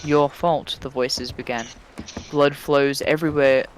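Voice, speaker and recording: A man's voice: medium pitch, little pitch movement, measured pace, slight background noise, low-quality recording. A man doing a dramatic story reading over voice chat, dropping into casual, sweary asides with friends. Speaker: reader of a fan fiction story. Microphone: voice chat microphone.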